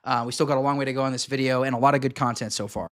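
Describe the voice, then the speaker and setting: A man hosting a live stream, reacting to a clip with blunt, emphatic commentary and quick asides to his viewers. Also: mid-range voice, fairly even intonation, a bit bright.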